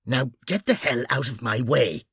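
A performer voicing a stern, irritable senior policeman in an audiobook, with a gruff, officious manner. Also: medium pitch, animated, normal speed, fairly bright tone, dry recording, clean studio sound, full character voice. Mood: angry.

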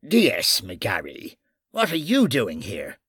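A performer voicing a stern, irritable senior policeman in an audiobook, with a gruff, officious manner. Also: mid-range voice, wildly swooping pitch, slow pace, fairly bright tone, no echo, clean studio sound, heavily acted. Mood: officious, suspicious.

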